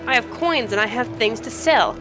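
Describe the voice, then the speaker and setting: A woman's voice: fairly high pitch, expressive, normal speed, over music, pitched above the performer's own voice, natural voice. A woman voicing a brash, scornful old cave-dwelling trader woman in a fantasy game, with blunt, rustic haggling. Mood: indignant, proud.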